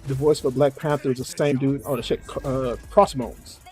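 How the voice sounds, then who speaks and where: A man's voice: medium pitch, fairly even intonation, normal speed, dark tone, soft background music. A man chatting casually and informally as a video host, with slang and swearing as he reacts to a game trailer.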